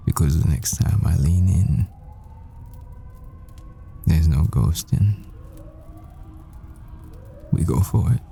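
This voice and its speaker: A man playing a tender, desperate boyfriend at the world's end in an intimate ASMR roleplay, murmuring breathily and haltingly. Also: extremely low pitch, gravelly, mellow, slight background noise, heavily acted. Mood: tender, teasing.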